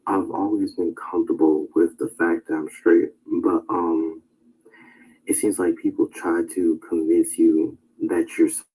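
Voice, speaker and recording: Young dude talking about himself, low warm voice, lots of likes and ums. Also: male voice, level tone, measured pace, husky, low-quality recording. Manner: hesitant, full of "like" and "um". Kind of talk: casual personal talk.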